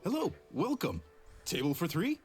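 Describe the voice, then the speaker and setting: Game innkeeper-cook: hospitable, proud, theatrical, bouncy intonation over light music. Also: male voice, on the high side, huge pitch swings, moderate pace. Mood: genial. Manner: hearty, theatrical salesman. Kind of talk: video game voice acting.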